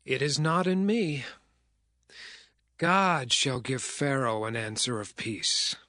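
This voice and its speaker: A man playing a composed young Hebrew interpreter of dreams in a dramatized scripture reading, measured and earnest. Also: mid-range voice, pushed and bright, no echo, studio quality. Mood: humble, assured. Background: soothing background music.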